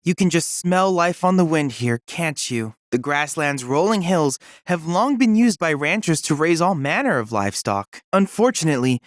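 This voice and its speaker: Guy doing a bookish android kid from a video game, super formal n polite, bouncy pitch, really dry close sound. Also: lively intonation, normal speed, few pauses, no room sound, natural voice. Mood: earnest, informative. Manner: formal, precise, courteous, earnest diction. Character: polite, bookish, eager young android boy researcher. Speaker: video game research assistant character. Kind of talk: scripted video game character dialogue.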